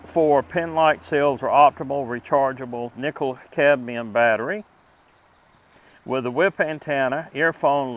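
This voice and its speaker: A man hosting a vintage gear review channel, talking through a demonstration in an informal, deliberate and somewhat halting way. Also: mid-range voice.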